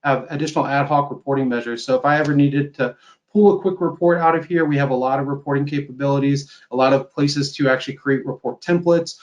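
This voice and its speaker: A man's voice: medium pitch, steady tone, quick pace, a little mellow. A man gives an informal webinar product demo over a video call, explaining things conversationally and often checking in with a tag question. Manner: explanatory, conversational, tags statements with "right?". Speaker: software company sales executive presenting a webinar.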